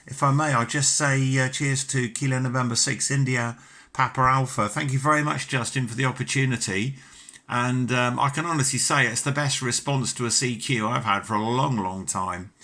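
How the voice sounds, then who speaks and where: A middle-aged British man with a very bright, forward voice at an ordinary male pitch. He talks in a chatty, courteous way, as a ham radio operator holding a casual contact. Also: steady tone, normal speed.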